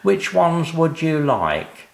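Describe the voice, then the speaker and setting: A man's voice: on the high side, wildly swooping pitch, takes it slowly, vocal fry, roomy sound, voice pushed up, exaggerated voice. A man voicing a showy, rehearsed salesman in a children's story, drawing out his sales patter. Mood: hesitant, showy.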